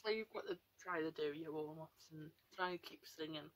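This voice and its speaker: A young woman with a nasal, breathy voice, chatting casually and rambling at home while getting ready for bed. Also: brisk. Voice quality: nasal, breathy, high-pitched voice. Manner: chatty, rambling, with many fillers. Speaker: vlogger. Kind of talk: casual chat to camera.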